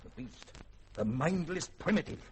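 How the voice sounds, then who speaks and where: Proud, defiant older scientist father man acting, highish voice, grand formal delivery; old radio recording, slightly noisy. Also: animated, normal speed, natural voice. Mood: brooding. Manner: theatrical, haughty, formal diction. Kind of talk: 1950s radio drama acting.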